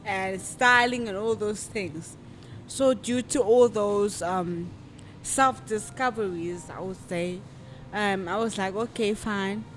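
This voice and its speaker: A woman in her twenties, a podcast guest telling her own story in a casual, reflective way, often pausing to think aloud. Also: medium pitch, slight background noise. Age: 20s. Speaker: podcast guest, modeling agency founder. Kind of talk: personal story in casual interview.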